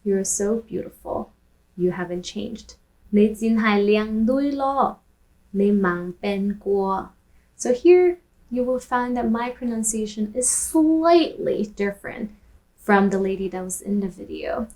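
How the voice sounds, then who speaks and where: A woman who grew up speaking Taishanese, hosting a language lesson where she repeats phrases slowly and translates them. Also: medium pitch, expressive, darkish tone, low-quality recording. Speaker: language teacher and host. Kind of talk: language lesson with film clips.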